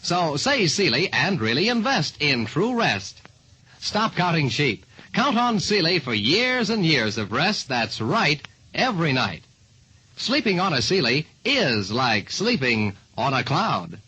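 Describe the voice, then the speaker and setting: A man's voice: on the high side, sing-song, measured pace, sharp tone, breathy edge, low-quality recording. A man reading a vintage radio commercial as announcer, in an emphatic salesman's delivery. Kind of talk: 1940s radio commercial pitch.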